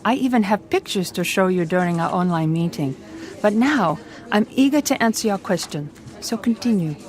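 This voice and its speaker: A woman's voice: mid-range voice, lively intonation, moderate pace, close to an everyday voice. A woman playing a polite, earnest young IT worker, speaking formally in a scripted role-play interview. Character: young adult female IT worker in Bangalore.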